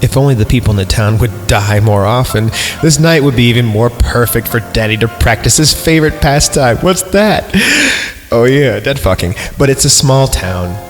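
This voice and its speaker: A man hosting a comedy podcast, reading a horror story aloud with sarcastic asides and mock-serious pauses. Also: medium pitch, expressive. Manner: sarcastic asides, breaks into laughter, mock-reads punctuation. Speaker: podcast host. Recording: music playing under the voice.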